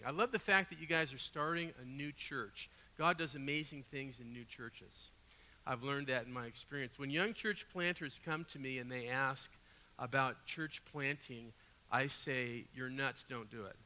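Guest preacher, man, raspy from a cold, bright forceful delivery, long church-hall reverb. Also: mid-range voice. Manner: animated, conversational storytelling with asides. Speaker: guest pastor. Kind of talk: sermon. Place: church sanctuary.

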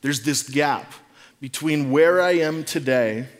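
A man's voice: on the high side, takes it slowly. A middle-aged man preaching as a pastor in a conversational, reflective sermon style, informal and often posing rhetorical questions. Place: large reverberant room, speaking to a congregation. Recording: long reverb of a large room.

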